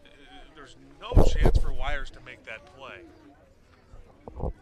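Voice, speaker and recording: Conversational male baseball color commentator, medium pitch. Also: steady tone, drawn-out words, a little mellow. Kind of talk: conversational sports analysis.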